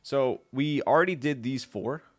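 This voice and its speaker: A man's voice: mid-range voice, a little slow, faint music behind. A man hosting a casual live stream, talking with viewers while he builds something. He sounds congested with a stuffy nose, and he is chatty and laughs often.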